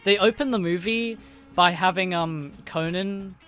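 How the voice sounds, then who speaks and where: A man's voice: high-pitched, measured pace, fairly bright tone, faint music behind. A man co-hosting a casual chat show, talking enthusiastically with stumbling restarts. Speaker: podcast co-host.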